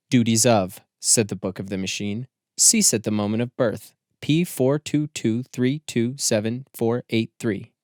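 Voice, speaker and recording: A man reading scripted literary narration as an audiobook narrator, with measured, deliberate phrasing. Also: medium pitch, no echo, studio quality.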